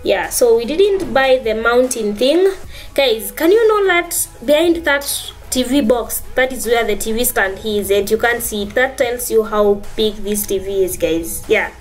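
A woman's voice: fairly high pitch, expressive, measured pace, soft background music. A woman with a Kenyan accent, vlogging casually on her phone in her living room, chatty and saying "guys" and "yeah" a lot. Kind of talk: casual vlog narration.

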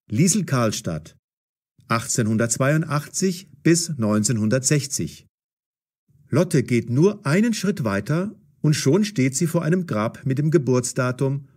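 A man narrating a scripted story for language learners in a deliberate, carefully enunciated, dramatic storytelling style. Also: mid-range voice, a little mellow.

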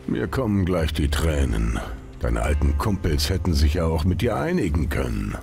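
A man's voice: rumbling low, big pitch swings, moderate pace, creaky, a little mellow, faint music behind, full character voice. An actor playing a domineering, mocking male gang boss in a video game, sneering and dismissive. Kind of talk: dramatic video game acting.

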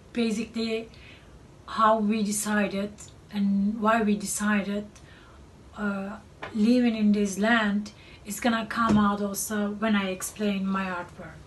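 A middle-aged woman with a Turkish accent presents her art in a slow, unhurried way. She often stops to search for the right word. She sounds far from the microphone in a cluttered studio, with faint room noise behind her. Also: medium pitch. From Turkey. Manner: slow, halting, searching for words. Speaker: artist. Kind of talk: artist's presentation to camera. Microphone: far camera.

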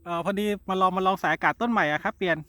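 A man's voice: high-pitched, very fast, noisy background. A man in a casual amateur radio exchange, polite and hesitant, repeating his words. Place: outdoors in a garden.

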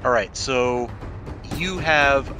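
Male game master, jokey and sweary, mid-pitched, with music clearly underneath. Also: slow. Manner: jokey, swearing, laughs often. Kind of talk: running a tabletop role-playing game. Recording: music playing behind the voice.

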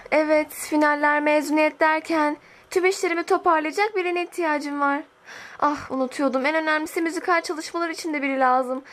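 A woman voicing a haughty, spoiled teenage high school diva in an amateur film dub, bossy and affected. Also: steady tone, a little fast, a bit bright. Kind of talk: amateur fan dub acting.

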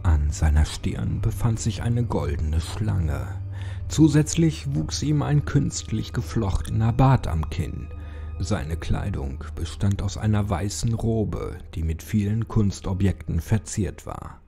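Low, gravelly male horror narrator. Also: lively intonation, slow, warm tone. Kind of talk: dramatic scripted audiobook narration. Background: background music.

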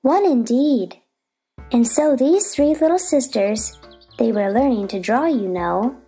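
Sleepy, touchy dormouse in a play, female performer: soft dark tone, unhurried, lilting. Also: on the high side, animated, soft background music, exaggerated voice. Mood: huffy. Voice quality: hoarse, feeble voice. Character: sleepy, touchy dormouse telling a rambling story. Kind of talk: stage play reading.

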